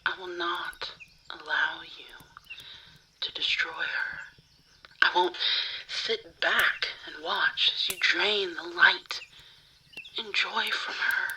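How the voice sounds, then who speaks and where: A woman playing a cold, obsessive yandere menacing a rival in an ASMR roleplay, hushed, deliberate and contemptuous. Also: on the low side, full character voice, low-quality recording. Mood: cold menace.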